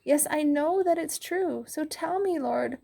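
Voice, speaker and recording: A woman's voice: sits high, unhurried, creaky, warm tone, voice pushed up. A woman voicing a suffering righteous man who pleads with God in anguished rhyme. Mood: bitter, pleading. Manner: anguished, rhyming lament.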